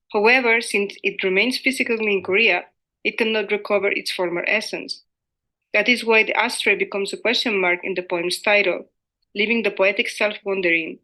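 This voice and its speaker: Bright-voiced woman reading an academic paper. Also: mid-range voice, low-quality recording. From Spain. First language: Spanish. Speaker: academic researcher presenting a paper. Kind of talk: scripted conference presentation read aloud.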